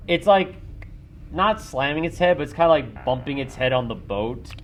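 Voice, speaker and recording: A man's voice: fairly high pitch, animated, measured pace, a little hiss or hum. A man acting as game master, improvising narration for a tabletop role-playing game.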